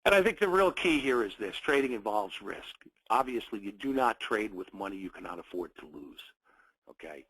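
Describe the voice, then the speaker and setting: A man hosting a webinar, his voice fairly low and a bit husky, and nasal from a cold. He greets viewers in a friendly way, talking in short phrases padded with fillers. The room sounds dry and close. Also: sing-song, normal speed, fairly bright tone, dry recording, low-quality recording. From United States. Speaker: webinar host, trading educator. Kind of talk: live webinar presentation.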